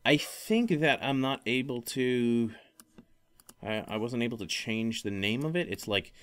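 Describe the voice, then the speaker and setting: A man hosting a solo podcast, talking casually in a rambling, halting way and often correcting himself. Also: mid-range voice, lively intonation, a bit bright, clear, resonant tone. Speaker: podcast host.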